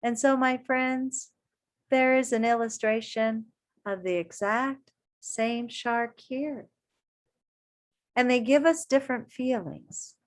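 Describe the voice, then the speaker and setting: A woman with a fairly high voice reads a picture book aloud at a very unhurried pace. She speaks expressively to young listeners, placing deliberate emphasis on phrases. Her manner is that of an encouraging elementary teacher who adds small asides as she goes. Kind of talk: children's story read-aloud with asides.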